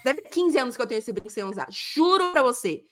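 A woman in her thirties with a Brazilian accent, telling stories in a chatty, animated way as a podcast co-host. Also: high voice, fast talker. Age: 30s. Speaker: podcast co-host and football broadcast journalist. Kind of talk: casual podcast chat.

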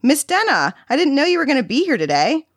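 A woman's voice: high-pitched, very animated, fast, no echo, studio quality, pitched above the performer's own voice. A woman voicing a sulky, frightened young boy with a temper, blurting in a childlike, petulant way for a narrated story. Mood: eager.

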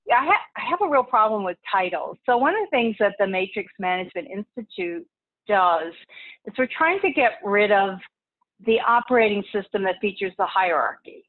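Woman from texas, podcast guest, chatty and relaxed, tinny phone-line sound. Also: medium pitch, moderate pace. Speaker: podcast guest, institute founder. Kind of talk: casual interview talk.

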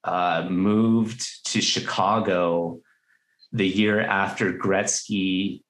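Lower-pitched Canadian man hosting casually. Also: steady tone, extremely slow, a bit bright, poor audio. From Toronto, Canada. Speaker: interview host. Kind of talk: casual interview chat with quiz questions.

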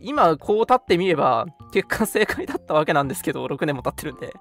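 Expressive young man with widely moving pitch. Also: fast, faint music behind. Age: early 20s. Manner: agreeable, echoes and affirms his partner often. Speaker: radio show co-host. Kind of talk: casual chat.

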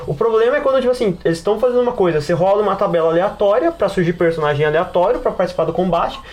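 Fast-talking, high-voiced Brazilian man. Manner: animated rambling explainer, heavy on slang. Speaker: podcast panelist and game master. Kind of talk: casual podcast discussion.

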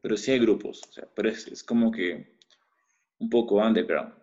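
A Peruvian man, a musician guest in a casual interview, speaking in a measured, slightly hesitant way with a Peruvian Spanish accent. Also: lowish voice, dark tone, lo-fi sound. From Peru.

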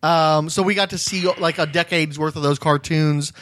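A man's voice: a little high, steady tone, moderate pace, a bit bright. A man hosting a casual comic-book chat, explaining things in knowledgeable detail. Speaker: podcast host interviewing a friend.

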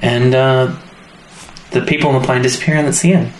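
A man's voice: medium pitch, fairly even intonation, fairly quick, a little hiss or hum. Casual man podcaster in a roomy space. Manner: colloquial, loose, joking. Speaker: podcast co-host. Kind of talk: casual chat, recapping a story.

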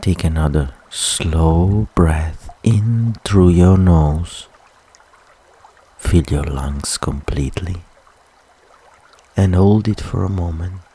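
A man leading a guided relaxation meditation with soft, soothing, unhurried instructions and long pauses. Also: sits low, husky.

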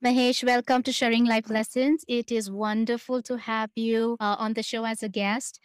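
A woman's voice: fairly high pitch, flat delivery, moderate pace, smooth, no echo, studio quality. A woman hosting a podcast interview, welcoming her guest and speaking directly to her listeners in an encouraging way. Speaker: podcast host.

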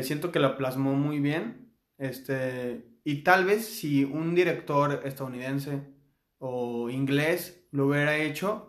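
Mexican man, podcast co-host, casual and rambling, slightly high voice, echoey room. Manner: rambling with many fillers. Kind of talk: casual chat.

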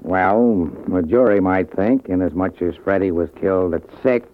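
An American actor playing a hard-nosed, sarcastic police sergeant in a 1940s radio drama. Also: male voice, low-pitched, moderate pace, lightly acted. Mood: sarcastic, tough. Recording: tinny, hissy old radio broadcast.

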